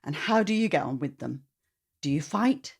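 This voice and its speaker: A woman reading a storybook aloud to children in an expressive narrating style. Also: low-pitched, normal speed, vocal fry, slightly rough.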